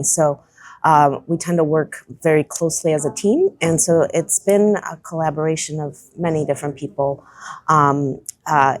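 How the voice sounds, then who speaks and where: A woman in her forties speaks in a notably low register, talking through her career as a podcast guest. She is thoughtful and often circles back to restart a phrase. The sound is dry, with no room around it. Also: dry recording. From Chicago area, US. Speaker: trade show company manager, podcast guest. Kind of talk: casual interview chat.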